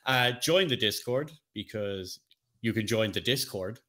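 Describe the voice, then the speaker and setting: A man with a slightly deep voice talks as the co-host of an informal podcast. He is chatty and jokey, speaking like a streamer in relaxed conversation. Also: unhurried. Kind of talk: casual podcast chat.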